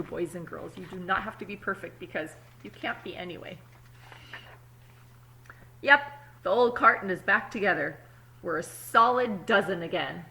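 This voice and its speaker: A woman, apparently a teacher, reads a picture book aloud to children expressively, pausing to explain words simply and check in with her young listeners. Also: on the low side, creaky, pushed and bright, low-quality recording. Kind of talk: children's storybook read-aloud with asides.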